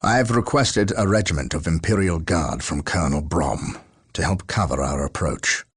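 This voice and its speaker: An actor playing a grave, battle-hardened Space Marine captain in a science fiction game, formal and commanding. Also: male voice, low voice, normal speed, slightly rough, no echo, clean studio sound. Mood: solemn, resolute.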